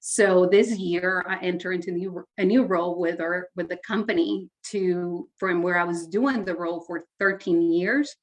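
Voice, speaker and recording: This is a woman from Honduras whose first language is Spanish, speaking as a podcast guest. Her voice sits somewhat low for a woman. She talks earnestly and thoughtfully, with plenty of ums and restarts. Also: moderate pace, hardly any pauses, low-quality recording. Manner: earnest, reflective, frequent fillers and restarts. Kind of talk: casual interview conversation.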